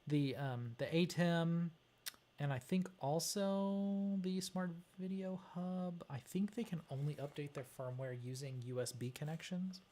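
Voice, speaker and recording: Hesitant livestreamer thinking out loud. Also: medium pitch, measured pace. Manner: hesitant, muttering through the task, thinking aloud. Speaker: livestream host. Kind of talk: casual chat while working on a wiring diagram.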